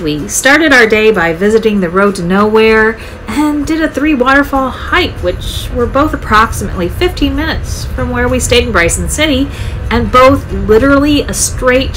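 A woman's voice: a little high, fairly bright tone, poor audio. A woman narrating her travel vlog in a scripted voice-over, upbeat, chatty and enthusiastic. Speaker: travel vlogger.

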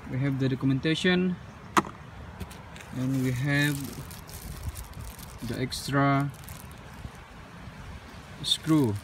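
A man from Malaysia unboxing a drone, talking casually and haltingly, with many fillers and loose grammar. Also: mid-range voice. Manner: unscripted, halting, with frequent "uh" and non-standard English grammar. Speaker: drone reviewer. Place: outdoors on pavement. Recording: distant mic with outdoor street noise.

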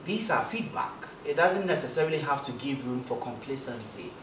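A man's voice: lively intonation, fairly quick. A man in his thirties with a light voice, talking animatedly as a guest in a seated interview, with some stammered starts. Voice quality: light, high-ish voice for a man. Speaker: broadcast journalist guest. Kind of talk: casual interview talk. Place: indoor studio set, seated in armchair. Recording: roomy echo, distant mic, background noise.

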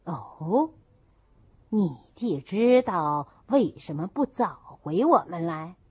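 A woman's voice: lowish voice, very animated, takes it slowly, slightly rough, dry recording, pitched below the performer's own voice, heavily acted. A woman voicing a stern, worried old matriarch in an audiobook, grave and admonishing, in a muffled recording. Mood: grave, reproving.

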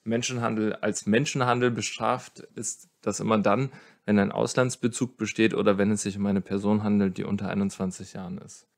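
A man's voice: fairly low pitch, flat delivery, a little fast, gravelly. A man speaking as a legal expert in a podcast interview, explaining conversationally and thinking aloud. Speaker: lawyer, expert guest.

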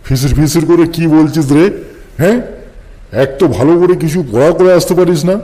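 A man's voice: fairly high pitch, fairly quick, mellow, lightly acted. A man voicing an exasperated, scolding schoolmaster in a comedy cartoon, often repeating his phrases. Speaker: schoolteacher character.

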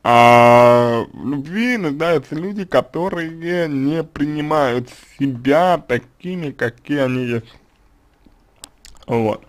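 A man's voice: a little high, slow pace. A man giving spoken advice to a listener, hesitant, with many fillers and restarts. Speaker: psychologist answering a question.

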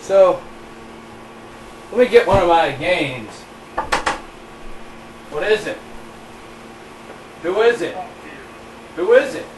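A man's voice: high-pitched, expressive, slow pace, long pauses, background noise. A teenage boy acting out an exasperated scene in a home comedy sketch, filmed in a small bedroom. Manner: whiny and exasperated. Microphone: camera across the room. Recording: roomy, distant home-camera audio.

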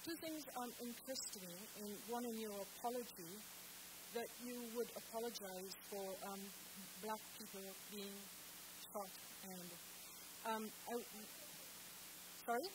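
A woman on a theatre stage panel, answering earnestly and firmly with halting restarts, into a handheld mic. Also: on the high side. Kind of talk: panel discussion response. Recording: hissy auditorium recording.

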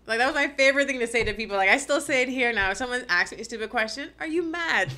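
Lively Bahamian woman, bright voice. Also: on the high side, rapid-fire. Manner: lively storyteller, laughs often. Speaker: guest. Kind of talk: casual podcast chat. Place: small podcast studio, indoors. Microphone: desk mic up close.

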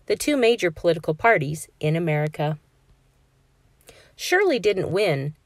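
Brief: woman narrator, lowish voice, dry close mic, calm clear classroom read-aloud. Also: no room sound. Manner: clear, steady storytelling for kids. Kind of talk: children's picture book read-aloud.

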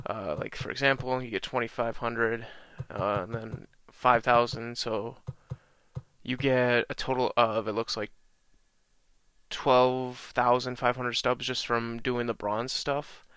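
A young man with a slight cold-raspy voice, casually walking through a video game tutorial with lots of ums. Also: mid-range voice, slow, no echo. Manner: relaxed, many ums and uhs, talks through menus. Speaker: gaming channel host.

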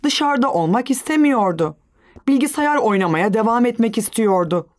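A woman narrating a children's cartoon as a gentle, measured storyteller. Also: a little high, expressive, lightly acted.

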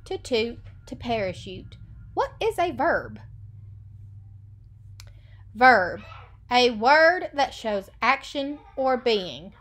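A woman in her thirties, an elementary school teacher, reading a picture book lesson to children in a deliberate sing-song way, recorded indoors on a computer webcam. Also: on the high side, fairly bright tone.

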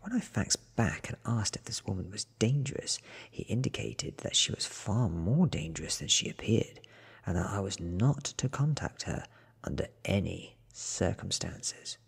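A man in his thirties giving a soft-spoken, hushed ASMR audiobook reading, breathy and often close to a whisper, slow and deliberate, close to the microphone. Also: on the low side, very animated. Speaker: reader.

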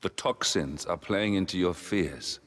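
A man playing a steady comrade in a video game, giving blunt, firm counsel. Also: low voice, unhurried. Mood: firm, urging. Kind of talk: dramatic video game cutscene acting. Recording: long hall reverb.

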